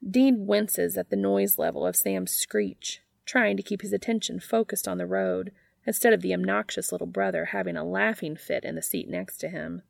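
A woman's voice: medium pitch, steady tone, normal speed, creaky, no echo, clean studio sound. A woman reading fan fiction aloud as scripted story narration.

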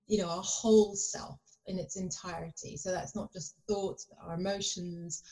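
A woman's voice: lowish voice, fairly even intonation, moderate pace, warm tone, rough recording. A woman guest on a podcast, talking in a rambling way with lots of fillers and restarts. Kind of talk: casual conversation.

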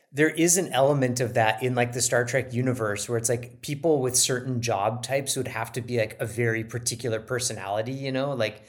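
A woman co-hosting a casual TV commentary podcast, agreeable and loose in her phrasing, feeling her way through points. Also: rumbling low. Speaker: podcast co-host.

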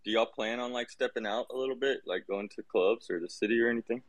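A man's voice: mid-range voice, fast talker, no echo. A man from the United States chatting casually with friends, easygoing, slangy and a bit profane. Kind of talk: casual group chat.

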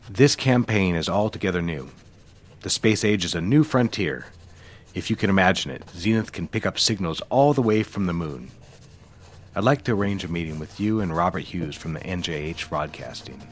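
A male advertising boss from a 1960s audio drama. His voice sits very low. He speaks with measured insistence, smooth and controlling, as someone used to giving orders. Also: lively intonation. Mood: businesslike, brisk. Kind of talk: period audio drama acting.

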